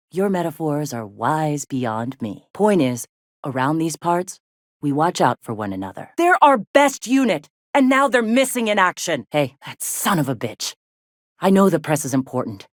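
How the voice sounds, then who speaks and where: Blunt, weary rebel medic, low-voiced. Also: female voice, dry recording, clean studio sound. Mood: wry, weary, then frustrated, angry. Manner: blunt, earthy, plain-spoken, sardonic. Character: tough, weary, foul-mouthed rebel medic and second-in-command. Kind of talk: video game dialogue acting.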